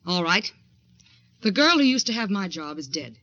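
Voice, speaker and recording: A woman's voice: on the low side, very animated, bright, forceful tone, dry recording, heavily acted. Confident, direct American career woman, old radio. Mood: frank, pressing. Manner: brisk, direct, challenging. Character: confident, ambitious young woman art director, sharp and wary. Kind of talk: 1940s radio suspense acting and first-person narration. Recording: dull old radio recording, music underneath.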